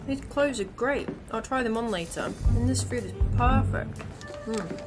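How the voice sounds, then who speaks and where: A woman's voice: a little high, measured pace, lightly acted. A woman playing a curious, sceptical young companion from the future in a fan audio drama. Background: music.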